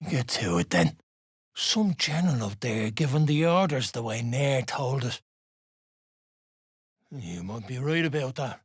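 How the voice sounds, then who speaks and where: An irritable dwarf scavenger and clan fighter for a fantasy game. The voice is rough and husky, moving widely in pitch at an unhurried pace. The speech is blunt and coarse. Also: expressive, no room sound, clean studio sound. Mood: gruff, wary. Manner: coarse, clipped orders in dialect. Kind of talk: video game character voice acting.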